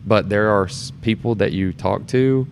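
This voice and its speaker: A man with a deep, low-pitched voice talks as a guest on a podcast. His delivery is informal and earnest, like a relaxed conversation. Background noise is clearly audible in the room. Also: moderate pace. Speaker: podcast guest, theater performer and board member. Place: shared studio space in a theater.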